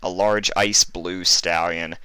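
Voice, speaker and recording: Deep, measured male story narrator. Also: fairly even intonation, sharp tone, smooth, dry recording. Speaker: fan fiction reader, narrator. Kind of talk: dramatic scripted story narration.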